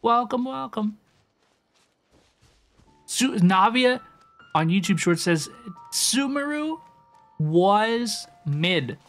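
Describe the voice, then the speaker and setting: A woman's voice: medium pitch, expressive, drawn-out words, long pauses. A woman livestreaming a video game, chatting casually to viewers and often repeating her phrases. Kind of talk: casual livestream chat over gameplay. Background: game music.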